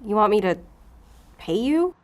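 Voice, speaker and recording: A woman's voice: medium pitch, very animated, measured pace. Driven, anxious woman FBI agent. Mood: guarded. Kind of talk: audio fiction drama acting.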